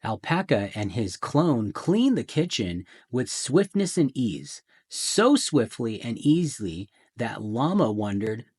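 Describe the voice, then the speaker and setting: A man reading a children's picture book aloud in a theatrical way, with deliberate pauses and emphasis. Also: mid-range voice, sing-song, a little mellow, husky. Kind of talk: dramatic children's storybook narration.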